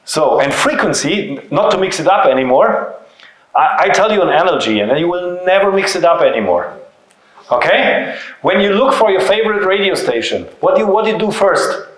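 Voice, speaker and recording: A man's voice: high voice, expressive, fairly quick. German-accented male seminar speaker in echoey room. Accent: German-speaking European. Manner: didactic, uses rhetorical questions and short emphatic phrases. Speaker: seminar presenter, company founder. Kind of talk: informal seminar talk to an audience.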